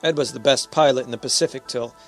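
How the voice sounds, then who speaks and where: An actor playing a commanding but sentimental space-rocket captain in a radio drama, speaking with earnest emphasis. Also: male voice, medium pitch, steady tone, fast talker, faint music behind, close to an everyday voice. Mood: relaxed.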